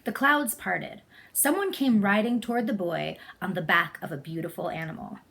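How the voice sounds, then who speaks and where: Female storybook reader, mid-pitched, for read-aloud narration of a tale. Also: moderate pace, creaky, a bit bright, slight background noise.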